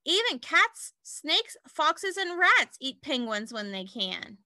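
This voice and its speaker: A woman reading aloud to young children like a teacher, in an animated way with simple explanatory asides. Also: sits high, slow, no room sound, clean studio sound.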